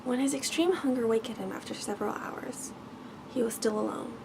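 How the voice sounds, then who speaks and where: A woman narrates a bedtime story in a soft, near-whispered ASMR voice. Her tone sits slightly high and carries a strong creak at the edges. She reads gently and carefully, with noticeable background noise on the recording. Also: fairly quick.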